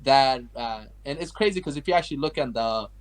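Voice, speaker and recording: A man's voice: mid-range voice, animated, brisk, low-quality recording. A young man from Afghanistan, a guest artist chatting casually and rambling, with lots of filler words as he tells his story. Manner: rambling, heavy use of like and you know. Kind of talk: casual interview chat.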